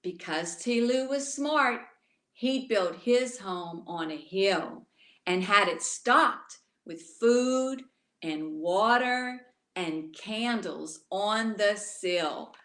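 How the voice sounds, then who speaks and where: Slow-paced woman reading a bedtime story. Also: mid-range voice, roomy sound. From Louisiana. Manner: expressive, addresses young listeners directly. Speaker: children's author reading aloud. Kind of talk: bedtime story read aloud to children.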